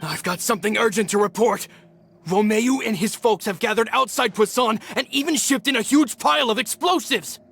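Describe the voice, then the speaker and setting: A high-pitched man's voice for an earnest game henchman. He gives reports dutifully to his superior, over faint music. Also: normal speed, gravelly, a bit bright, breathy edge, heavily acted. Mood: urgent, earnest. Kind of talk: video game voice acting.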